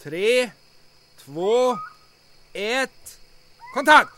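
A man playing a fretful, excitable doctor who tinkers with explosives, whiny and quick to panic. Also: very high-pitched, very noisy. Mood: keyed up. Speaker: bungling safecracking doctor.

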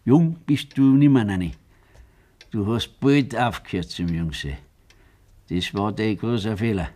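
A man's voice: lowish voice, measured pace, dark tone. An actor playing a stern, blunt old farmer father with a Bavarian accent in a German radio play. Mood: stern, reproachful. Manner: scolding, blunt, rustic.

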